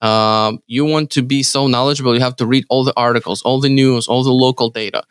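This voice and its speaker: A man from Ukraine speaking English as his second language with a Ukrainian accent, answering interview questions in a halting way with many restarts and fillers. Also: medium pitch, fairly even intonation, clear, resonant tone, dry recording, studio quality. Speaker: real estate team leader, guest.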